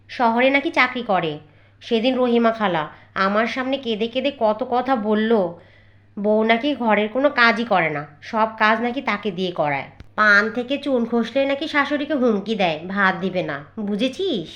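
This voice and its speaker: A woman voicing a gossipy, disapproving young village housewife in a cartoon, with an animated, scandalised manner. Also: on the high side, moderate pace. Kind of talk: cartoon acting.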